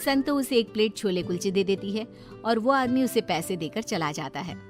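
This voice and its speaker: A woman's voice: medium pitch, animated, normal speed, faint music behind. A woman reading a scripted moral bedtime story as the narrator.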